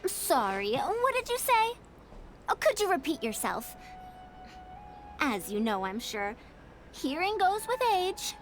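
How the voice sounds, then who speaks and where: A woman's voice: high voice, big pitch swings, slow pace, pauses often, full character voice. A woman playing a proud, evasive elder scholar with a youthful sound in a video game, lecturing in formal, pompous phrases. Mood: feigned innocence. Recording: game music behind the voice.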